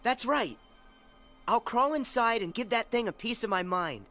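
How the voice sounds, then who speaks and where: A man's voice: very high voice, expressive, normal speed, bright, forceful tone, exaggerated voice. A man playing a plucky, cocky teenage boy hero in a video game, with a brash, casual, eager manner. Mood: determined. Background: game music.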